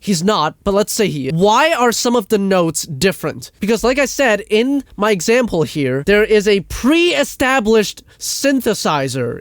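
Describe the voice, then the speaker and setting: A man's voice: sits high, no echo, studio quality. A man delivering a casual, exasperated rant as a video creator, blunt and emphatic, with swearing. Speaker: video creator ranting about music production.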